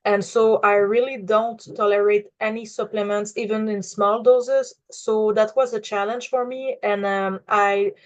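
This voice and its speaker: A woman in her twenties from Quebec with a Quebec French accent, hesitantly telling her own story with many pauses and fillers, English not her first language. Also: medium pitch, flat delivery, darkish tone, lo-fi sound. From Quebec, Canada. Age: 20s. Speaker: client giving a testimonial. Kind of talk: unscripted personal testimonial.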